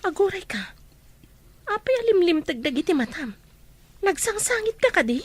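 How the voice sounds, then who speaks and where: A woman's voice: very high-pitched, sing-song, moderate pace, no echo. A woman playing a concerned, inquisitive young best friend in an Ilocano audio drama. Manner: probing, questioning delivery.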